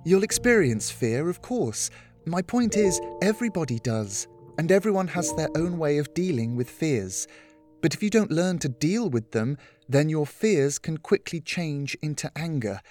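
A man reading a story, voicing a caring adult woman who talks softly and patiently. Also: on the high side, sing-song, moderate pace, soft background music, voice pushed up. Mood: soft reassuring. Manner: soft, patient, comforting. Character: gentle caring adult woman, calm and reassuring.

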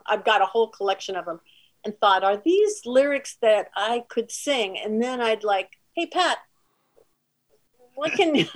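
A female singer with a soft voice, telling anecdotes casually in an interview. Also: a little high.

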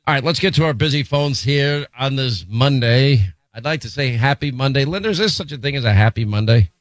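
A middle-aged man hosts talk radio with brash, quick, teasing energy. His voice sits at an ordinary middle pitch. The studio mic gives it a dry, close sound. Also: lively intonation, no echo. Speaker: radio talk show host. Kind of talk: talk radio banter and live read ad.

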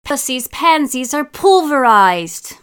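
A woman's voice: high voice, very animated, slow pace, a bit bright, clean tone, voice pushed up, heavily acted. Woman acting a dramatic, guilty young boy. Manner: exclaiming, theatrical.

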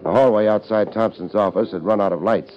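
Male actor for laid-back American gumshoe, deadpan and very deep, vintage radio mystery with muffled sound. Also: fairly quick, music in the background, lightly acted. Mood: wry narration. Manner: deadpan, wisecracking. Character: wry, laid-back, hardboiled private detective narrating his case. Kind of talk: 1950s American radio detective drama acting. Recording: dull 1950s radio recording.

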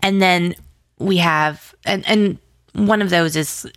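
A woman's voice: fairly low pitch, slow, a bit bright, no room sound, studio quality. A woman speaking as an interview guest in casual, informal conversation, with slangy phrasing full of "like". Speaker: interview guest, audio drama writer.

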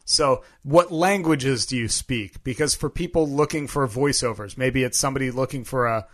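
A male podcast co-host talks in a loose, conversational way. His voice sits at an average pitch for a man, and he has a joking, self-deprecating manner as he chats. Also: normal speed, fairly bright tone. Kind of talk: casual chat.

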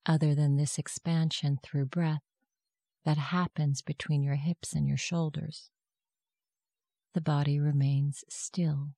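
A meditation guide giving gentle, soft-spoken, measured instructions in a scripted guided meditation. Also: medium pitch, flat delivery, gravelly, dry recording, clean studio sound.

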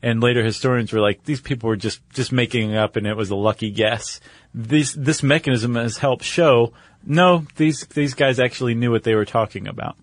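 A man's voice: medium pitch, normal speed, dry recording. A man co-hosting a podcast, explaining things in a casual, conversational way with little check-in questions. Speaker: podcast co-host.

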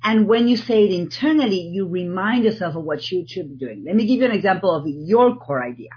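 A woman from Argentina with an Argentine accent, a guest in an interview, talking in an animated way and often restarting her sentences. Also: lowish voice, brisk, breathy edge, lo-fi sound. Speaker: guest, TEDx organizer. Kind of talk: podcast interview.

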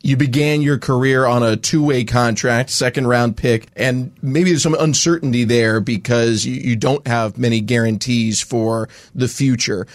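A man's voice: medium pitch, fairly even intonation, moderate pace, hardly any pauses, no echo. A man hosting a sports podcast and asking interview questions in a polished broadcast style.

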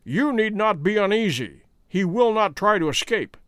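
Guy doing a calm bossy detective in an audiobook, precise n stern, highish lively voice. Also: moderate pace, no room sound, studio quality. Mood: assured. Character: composed, masterful male detective, stern and assured.

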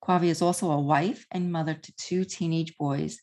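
A woman in her fifties hosts an interview in a chatty, easygoing way, laughing often. Her voice is a bit low and slightly husky. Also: fairly even intonation, moderate pace, warm tone. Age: 50s. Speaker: podcast host. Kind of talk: interview conversation.

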